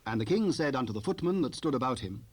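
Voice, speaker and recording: A man narrating a dramatized scripture recording in a formal, measured storytelling manner. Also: medium pitch, animated, darkish tone, breathy edge.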